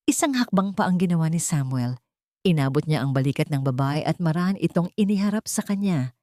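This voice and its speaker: A woman's voice: low-pitched, expressive, fairly quick, dark tone, dry recording, clean studio sound. A woman narrating a dramatic fictional story as a scripted audiobook.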